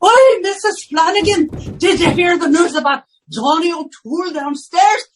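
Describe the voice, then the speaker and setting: Very shrill gossiping neighbor. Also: female voice, unhurried, noisy background. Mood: loud gossiping. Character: loud gossiping Irish neighbor woman shouting out a window. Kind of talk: old-time radio comedy acting.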